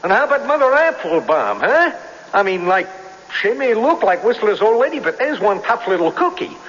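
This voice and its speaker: An actor playing a jittery, defensive young hipster crook who protests in excitable beatnik slang, in 1970s radio drama style. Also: male voice, high-pitched, moderate pace. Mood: accusing, gossipy. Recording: dull, noisy old radio recording, reverberant hall.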